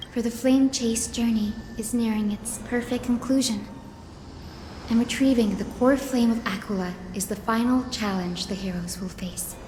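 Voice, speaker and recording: An actress playing a gentle, earnest young woman descended from sky people, polite and formal, in a fantasy video game. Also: a little high, slight background noise, close to an everyday voice. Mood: respectful, earnest. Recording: echoey hall reverb, music underneath.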